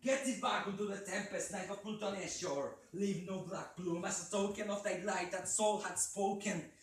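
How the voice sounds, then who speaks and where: A man's voice: high voice, steady tone, moderate pace, pitched above the performer's own voice, lightly acted. A man acting out a desperate, grief-maddened man who declaims in a poem. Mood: furious. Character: grief-maddened man pleading and raging at a raven. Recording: very echoey room, distant mic, faint noise.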